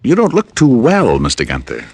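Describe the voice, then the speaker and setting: An actor playing a wry, easygoing private detective in an old radio drama, narrating and talking in a dry, laid-back way. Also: male voice, mid-range voice, wildly swooping pitch, moderate pace, darkish tone, breathy edge, no room sound, heavily acted. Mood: dry, attentive. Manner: dry, laid-back first-person storytelling. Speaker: actor in the lead role and narrator. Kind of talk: 1940s-50s radio detective drama acting. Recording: old radio recording with music behind.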